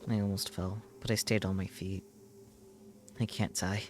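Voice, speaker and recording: A man reading visual novel text aloud as a streamer, in dramatic, intense narration with measured short phrases. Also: low-pitched, flat delivery, a little hiss or hum. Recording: music behind the voice. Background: loud game music.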